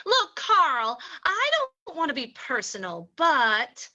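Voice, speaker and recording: Woman playing high, bright, coy gold-seeking gal. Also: big pitch swings, slow pace, highs cut off, exaggerated voice. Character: coy, flattering young woman gold-seeker in a tavern. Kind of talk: old-time radio acting.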